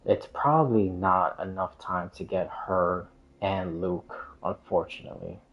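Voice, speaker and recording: A man's voice: low voice, steady tone, a little slow. A man livestreaming games, chatting casually in a hesitant, meandering, repetitive way. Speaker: game livestreamer. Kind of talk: casual rambling stream chat. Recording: dull, muffled lo-fi stream audio.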